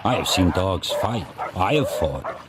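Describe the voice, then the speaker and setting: Guy playing a grim sled dog telling his story, radio play style, really deep and slow. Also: animated. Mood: grim, intense. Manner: spare, deliberate short sentences. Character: sled dog narrating his harsh life, grim and watchful. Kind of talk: first-person dramatic narration in a radio play. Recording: faint background noise.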